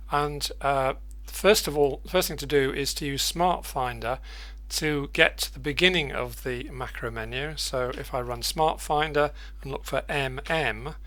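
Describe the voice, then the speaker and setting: A man presenting an unscripted software tutorial, thinking aloud haltingly and repeating words as he goes. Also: mid-range voice. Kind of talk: unscripted screen-share tutorial.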